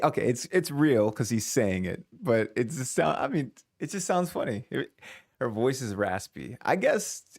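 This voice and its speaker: A man's voice: mid-range voice, gravelly. A man hosting a reaction video, casually thinking aloud, hedging and restarting his sentences. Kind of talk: casual commentary.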